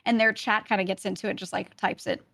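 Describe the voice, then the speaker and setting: A woman's voice: mid-range voice, fairly even intonation, quick pace, fairly bright tone, no room sound, studio quality. Chatty woman with a light Brooklyn accent. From Brooklyn, New York. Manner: chatty, laughs often, many "like" and "you know" fillers. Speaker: podcast guest. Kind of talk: casual podcast chat.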